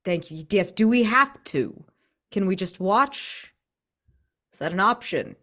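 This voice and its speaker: A woman playing a gentle, earnest young man in a tabletop game, hesitant and thoughtful, nervously polite. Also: mid-range voice, lively intonation, unhurried, pitched above the performer's own voice. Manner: hesitant, thoughtful, with false starts. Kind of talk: in-character tabletop roleplay.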